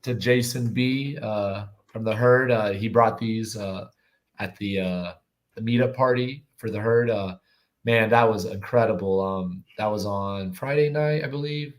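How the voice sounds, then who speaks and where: A man with a slightly hoarse voice from yelling, chatting casually and enthusiastically in slangy speech as a guest on a livestream. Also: on the low side, unhurried. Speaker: guest on a live show.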